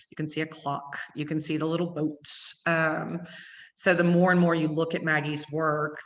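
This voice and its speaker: A woman with an East Texas accent, a gallery owner giving a quick, chatty tour full of ums. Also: sits low. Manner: fast-talking with many ums. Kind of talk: virtual gallery tour talk. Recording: long hall reverb.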